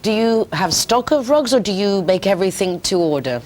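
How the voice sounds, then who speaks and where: A woman's voice: mid-range voice, lively intonation, fairly quick, darkish tone, a little hiss or hum. A woman hosting an interview, asking questions in a chatty, enthusiastic manner. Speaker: host. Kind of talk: casual interview.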